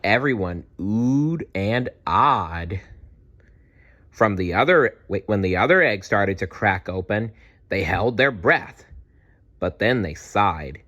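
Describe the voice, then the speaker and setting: A man reading a children's storybook aloud in an expressive, unhurried way, adding small asides. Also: lowish voice, muffled recording. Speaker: children's book read-aloud narrator.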